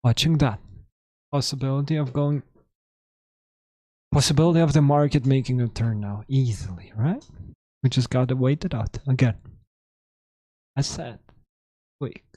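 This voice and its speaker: Male trading streamer, hoarse throat, dark mellow tone, dry room, muttered live commentary. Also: medium pitch, no room sound. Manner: thinking aloud, halting, self-correcting. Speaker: trading livestream host.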